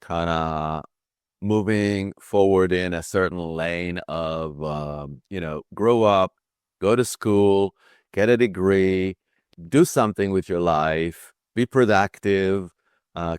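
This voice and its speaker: A man in his sixties from Israel, a guest healer telling his story slowly with frequent pauses. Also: low voice, clear, resonant tone, no echo, clean studio sound. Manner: slow, measured, with frequent pauses and fillers. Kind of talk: interview conversation.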